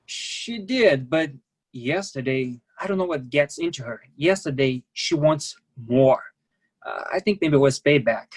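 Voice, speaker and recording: An actor in a staged play reading, playing a resigned, candid immigrant massage therapist who speaks in clipped, non-native phrasing, matter-of-factly. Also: male voice, on the high side, lively intonation, measured pace, breathy edge. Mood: resigned, explaining.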